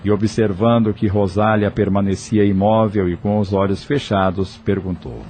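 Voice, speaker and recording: A man's voice: fairly low pitch, steady tone, moderate pace, a little mellow, slight background noise. A man reading scripted narration for an audio novel as its narrator.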